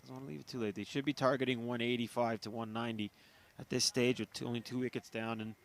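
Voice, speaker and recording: A man's voice: mid-range voice, level tone, quick pace, creaky. A man giving cricket analysis in casual chat, often repeating words while he thinks.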